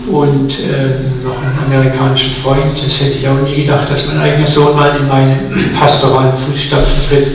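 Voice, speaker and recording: A man's voice: medium pitch, noisy background. Echoey sixties male preacher in a hall. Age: 60s. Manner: casual, personal, humorous preaching. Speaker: guest preacher. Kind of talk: sermon. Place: church hall. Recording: echoey hall, mic from far off.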